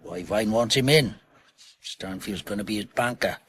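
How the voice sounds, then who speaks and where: An actor playing a bossy, edgy British crime crew leader in a radio drama, giving clipped, commanding instructions. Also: male voice, lowish voice, moderate pace, fairly bright tone, slight background noise, natural voice. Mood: grim, vengeful.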